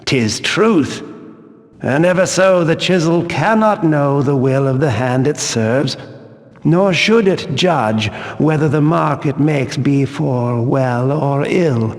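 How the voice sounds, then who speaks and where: Slow, solemn zealot guard in reverb. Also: male voice, a little high. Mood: stern, preaching. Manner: solemn, archaic, preachy diction. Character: pious, stern male zealot guard of a fantasy order. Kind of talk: archaic scripted video game dialogue. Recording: long hall-like reverb.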